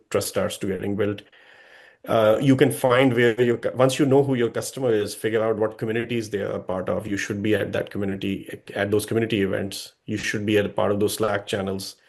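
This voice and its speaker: A man with a fairly low voice speaks as a guest expert, giving business owners blunt, practical advice. He talks in an earnest, conversational way and often restarts his sentences to correct himself. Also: creaky. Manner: direct, earnest advice with frequent self-corrections. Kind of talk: advisory talk to business owners.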